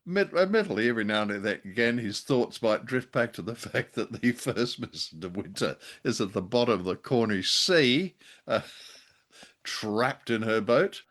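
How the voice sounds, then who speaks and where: A man's voice: medium pitch, animated, normal speed, fairly bright tone. A man co-hosting a review podcast, chatting casually in a wry, jokey way. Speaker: podcast co-host.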